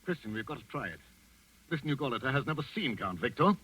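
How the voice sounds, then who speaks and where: An actor playing a commanding doctor in the resistance, urgently instructing accomplices in 1940s radio style. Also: male voice, mid-range voice, animated, fast talker, a bit bright, slightly rough. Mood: urgent, persuasive. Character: commanding male doctor, resistance plotter coaching his accomplices. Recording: muffled 1940s radio broadcast.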